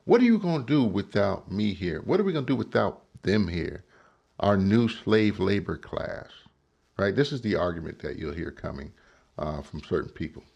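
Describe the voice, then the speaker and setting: A man's voice: low-pitched, very animated, normal speed, gravelly, a little mellow, muffled recording. A man giving emphatic political commentary on a clip, often asking "right?" to make his point. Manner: emphatic, asks rhetorical questions, says "right?" often.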